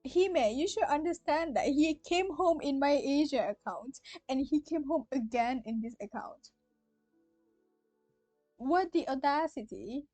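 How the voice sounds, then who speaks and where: A young woman streaming a game, with a high voice and an unhurried pace. She is excitable and giggly, talking casually to her viewers, recorded close and dry over faint music. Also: no echo. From Malaysia. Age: young adult.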